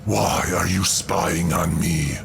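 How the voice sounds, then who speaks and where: Menacing alien tyrant, heavy gravel, slow delivery, hoarse, motion comic villain. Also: male voice, low-pitched, steady tone, noisy background, full character voice. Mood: stern, protective.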